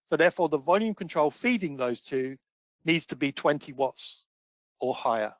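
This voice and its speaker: A man presenting a technical webinar as a trainer, explaining step by step with examples in a measured way. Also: a little high, animated, no room sound.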